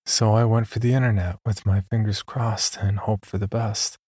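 A man performing scripted first-person horror narration as an uneasy young man, in a measured, confiding way with deliberate pauses. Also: on the low side, flat delivery, heavy background noise, lightly acted. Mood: reflective, uneasy. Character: uneasy young man recounting his sleep disorder. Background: background music.

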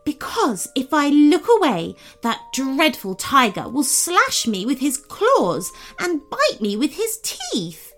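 A woman's voice: high-pitched, wildly swooping pitch, takes it slowly, hardly any pauses, faint music behind, pitched above the performer's own voice, full character voice. A woman voicing a haughty, irritable female unicorn in a children's story, snapping and complaining sharply.

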